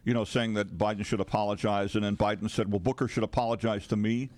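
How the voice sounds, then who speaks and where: An American man co-hosting talk radio in casual political chat, with a halting, hedging manner. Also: lowish voice, fairly even intonation, darkish tone. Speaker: radio co-host. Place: radio studio.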